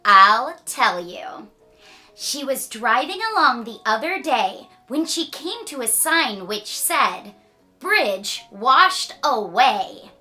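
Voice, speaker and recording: A woman reading a children's story aloud with playful asides and dramatic, drawn-out emphasis. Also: a little high, big pitch swings, sharp tone, faint music behind. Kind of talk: animated read-aloud storytelling.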